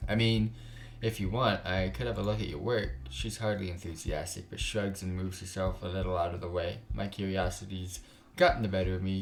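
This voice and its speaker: A man's voice: deep voice, darkish tone. A man hosting a gaming video, reading a visual novel aloud and adding casual, slangy commentary. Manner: informal, reacts with slangy asides. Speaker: gaming channel host.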